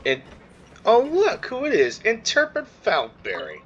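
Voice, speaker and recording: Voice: medium pitch, huge pitch swings, unhurried, muffled recording, slight background noise. A gamer giving casual, slangy commentary over a playthrough. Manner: slangy, informal. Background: game music.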